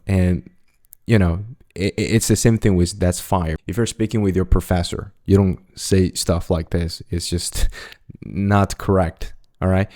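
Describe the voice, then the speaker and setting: Deep, mellow-voiced young man teaching. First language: Mandarin Chinese. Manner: relaxed, repeats example phrases twice, chuckles lightly. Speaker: language teacher. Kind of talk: casual explanatory lesson.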